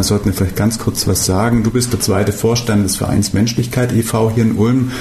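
A man's voice: lowish voice, warm tone, reverberant, slight background noise. A man hosting a radio talk show interview in a studio, speaking hesitantly with fillers and restarts. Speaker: radio host.

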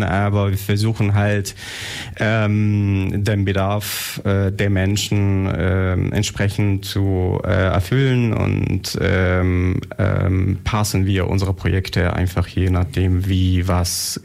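Guest, man, 36, very low and gravelly, slow, halting with fillers. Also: darkish tone. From Germany. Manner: hesitant, many fillers. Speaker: guest, association board member. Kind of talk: radio interview.

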